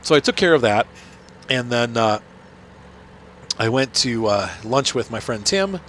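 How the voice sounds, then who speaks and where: A man's voice: medium pitch, lively intonation, measured pace, fairly bright tone. Middle-aged male host, nasal with a cold, rambling casual game commentary, faint noise behind. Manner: rambling, chatty, many fillers and restarts. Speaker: gaming channel host. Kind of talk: casual commentary while playing.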